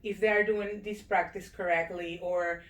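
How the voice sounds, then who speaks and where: A woman's voice: on the low side, fairly even intonation, normal speed, roomy sound, rough recording. A woman hosting an interview show, asking her guest long, winding questions. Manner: warm welcoming, asks long rambling questions. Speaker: show host and realtor. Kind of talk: interview host.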